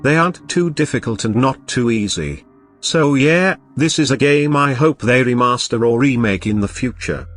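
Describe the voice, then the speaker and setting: A man's voice: mid-range voice, expressive, normal speed, a bit bright. Chatty young man reviewing old games. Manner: chatty and enthusiastic, with joking asides. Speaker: video game reviewer. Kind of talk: casual game review voice-over. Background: game music playing.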